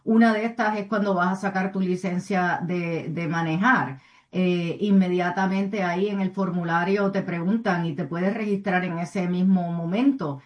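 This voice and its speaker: A woman speaking as a community advocate in an interview, earnest and somewhat halting, often adding tag questions. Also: fairly low pitch, steady tone. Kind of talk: interview answers, civic advocacy.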